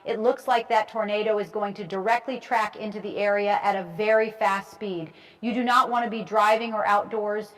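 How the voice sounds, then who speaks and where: Female meteorologist played by a woman for a fictional live tornado broadcast; mid-range voice, clear instructions and explanation. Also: mid-range voice, steady tone, moderate pace, a bit bright, lightly acted. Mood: urgent warning. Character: female TV meteorologist giving urgent tornado coverage. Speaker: weather broadcaster. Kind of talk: live weather commentary.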